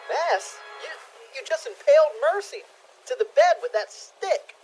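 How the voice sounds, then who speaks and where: Hesitant, incredulous mild doctor, hugely varied intonation, for comic audio drama. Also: male voice, very high-pitched, big pitch swings, a little slow, a little mellow, soft background music, heavily acted, poor audio. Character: baffled, hesitant, mild-mannered male doctor. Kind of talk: comic audio play acting.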